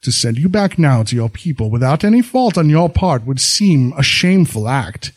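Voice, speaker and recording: A man reading a storybook, voicing a calm, considerate samurai husband with formal speech. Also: mid-range voice, moderate pace. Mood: earnest. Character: gentle, honorable samurai husband.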